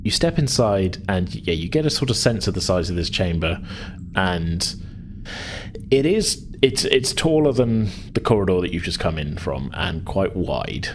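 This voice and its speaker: A man's voice: low voice, big pitch swings, a little slow, a little hiss or hum. A man narrating a tabletop game as game master, improvising in loose, hesitant, informal phrases.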